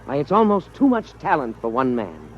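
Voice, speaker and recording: A faintly hissy, dulled old radio recording of a grandiose male painter. He is pompous and self-dramatizing, with pitch that swoops theatrically in classic 1950s radio style. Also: wildly swooping pitch, normal speed. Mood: smug boasting. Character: conceited, grandiose bohemian male painter, blithely self-adoring. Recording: slightly dull, hissy vintage radio recording.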